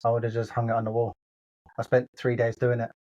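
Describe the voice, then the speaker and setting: A man's voice: mid-range voice, monotone, fast talker, warm tone, lo-fi sound. Blunt, sweary podcast man. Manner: blunt, sweary, laughs a lot. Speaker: podcast co-host. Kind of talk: casual chat.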